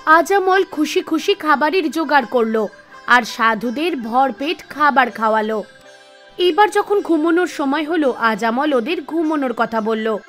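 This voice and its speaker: High-pitched woman storyteller over music. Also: expressive, measured pace, fairly bright tone. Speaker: narrator. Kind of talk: scripted storytelling narration for an animated devotional tale. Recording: music bed under the voice. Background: background music.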